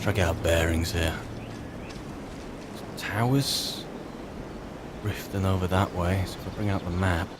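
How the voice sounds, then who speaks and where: A lowish-pitched man voicing a male adventurer in a fantasy game roleplay. He muses to himself hesitantly, with wry politeness and a grumbling streak. Noisy game ambience sits clearly behind the voice. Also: steady tone, a little slow, long pauses. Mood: musing. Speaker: roleplaying game player and narrator. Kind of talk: in-character improvised gameplay commentary.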